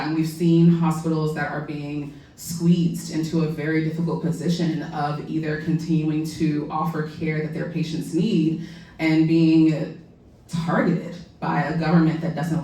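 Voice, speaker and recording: A woman policy lawyer with a loud voice, speaking earnestly and conversationally to a community audience, with frequent "um" and "right?". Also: sits low, little pitch movement, unhurried. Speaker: policy lawyer giving a public talk. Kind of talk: public talk to a community audience. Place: public library meeting room. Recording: echoey room, mic far off.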